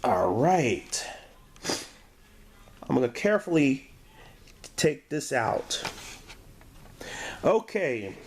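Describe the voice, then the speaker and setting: A man giving a casual, unscripted review as host, speaking haltingly and stumbling over some of his words. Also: medium pitch, sing-song, slight background noise. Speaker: DVD reviewer, show host.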